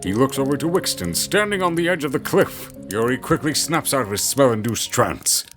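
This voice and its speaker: A man's voice: medium pitch, sing-song, brisk, fairly bright tone. A man narrating an audio drama with dramatic scripted storytelling delivery. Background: music.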